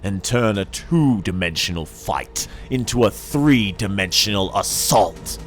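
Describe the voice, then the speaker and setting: A deep-voiced man narrates dark science fantasy in a theatrical, menacing style. His pacing is slow, with deliberate pauses, and his pitch moves widely as he leans on key words. Music plays beneath the reading. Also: animated. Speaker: narrator reading an audiobook excerpt. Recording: music under the voice. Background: dramatic background music.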